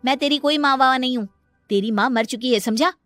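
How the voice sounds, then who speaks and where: Woman playing a high, scornful, cruel stepmother. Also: a little fast, soft background music. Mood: angry, defiant. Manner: sharp, scornful, commanding. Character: cruel, spiteful, scolding stepmother. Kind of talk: animated moral story dialogue.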